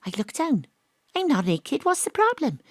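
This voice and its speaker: Woman narrating a comic radio play, wry and brisk, very dry sound. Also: high voice, huge pitch swings, dry recording. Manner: brisk, wry, self-assured. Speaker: narrator and lead detective.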